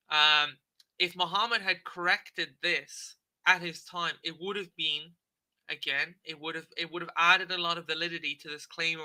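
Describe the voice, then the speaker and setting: A man talks through a text for his livestream audience in a loose lecture, tagging "okay?" onto his points and filling pauses with "um". His voice sits a little high, sounds very bright and forward, and stays conversational. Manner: conversational, frequent "okay?" checks and filler "um". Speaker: livestream debate host. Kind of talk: casual lecture reading and commenting on a text.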